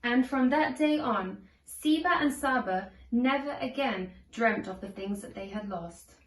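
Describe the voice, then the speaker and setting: The voice comes from a little way off in an echoey room. It is a woman with a fairly high pitch, reading a children's story aloud. Her delivery is deliberate and measured, shaped for read-along listening. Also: lively intonation. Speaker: storybook narrator. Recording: echoey room, distant from microphone.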